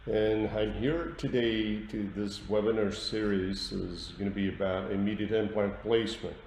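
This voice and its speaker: A man with a Portuguese accent presenting an instructional webinar as a dentist, repeating words for emphasis in halting phrases. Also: lowish voice, fairly even intonation, a little mellow. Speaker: dentist giving a webinar lecture. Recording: roomy echo with light background noise.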